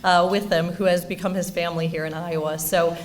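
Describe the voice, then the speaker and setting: A woman's voice: lowish voice, little pitch movement, brisk, a little hiss or hum. A woman banker presenting an award in an informal, enthusiastic, anecdotal speech at a ceremony.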